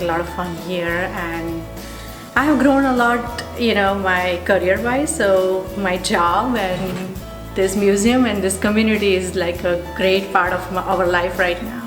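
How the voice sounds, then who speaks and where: A woman in her thirties from India, speaking with an Indian accent. She is being interviewed and talks in a hesitant way, with many fillers and restarts. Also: medium pitch. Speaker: interviewee, physical therapist. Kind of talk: conversational interview. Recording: echoey room with background music.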